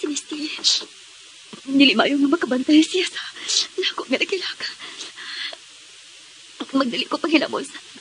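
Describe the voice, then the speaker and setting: A very high-voiced, anxious mother played by a woman in a Filipino radio drama. She speaks with a Visayan accent in a fretful, emotive, evasive way, like someone guarding a secret. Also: moderate pace, long pauses, slight background noise, close to an everyday voice, poor audio. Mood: nervous, secretive.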